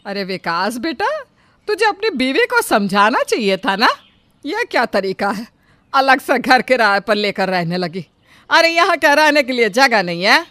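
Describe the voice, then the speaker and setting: A woman's voice: a little high, sing-song, normal speed, pushed and bright. Woman playing a bossy scolding mother-in-law. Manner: scolding, commanding. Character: bossy older mother-in-law, sharp-tongued grandmother. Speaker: voice actor. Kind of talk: Hindi storybook drama acting.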